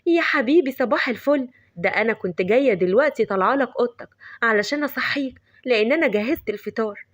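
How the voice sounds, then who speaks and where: A woman's voice: a little high, animated, fast, no room sound, studio quality. A woman voicing a fussing older mother with an Egyptian Arabic accent, doting and nagging.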